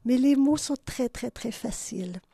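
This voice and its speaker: A woman's voice: fairly high pitch, lively intonation, normal speed, creaky, mellow, breathy edge. An older woman from Ontario with a Canadian French accent, hosting a radio show in a studio, effusive and affectionate, laughing often. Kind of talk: casual radio chat.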